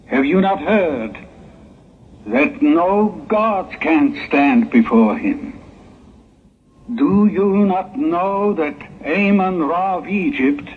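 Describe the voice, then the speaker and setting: Bold doom-preaching prophet male actor, solemn, slow, declamatory; narrow, noisy vintage audio drama sound. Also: a little high. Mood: defiant. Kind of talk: 1960s religious audio drama acting. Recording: muffled, phone-like, noisy 1960s recording.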